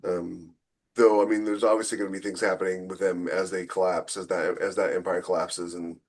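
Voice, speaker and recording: A man's voice: low voice, flat delivery, a little fast. A man in casual conversation as a podcast co-host and author, with a wry, joking way of talking. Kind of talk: casual chat about writing.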